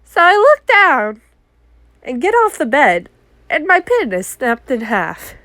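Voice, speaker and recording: Congested woman vlogger, bright-ish pitch, animated storyteller, dead-dry close recording. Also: slow, dry recording. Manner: chatty, animated, acts out her own exclamations. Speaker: art vlogger. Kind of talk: casual story time.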